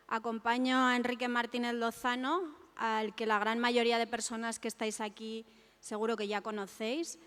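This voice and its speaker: A woman's voice: on the high side, little pitch movement, moderate pace, a bit bright. A woman introducing a speaker at a public talk, a little nervous and informal. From Spain. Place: lecture hall. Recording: long hall reverb.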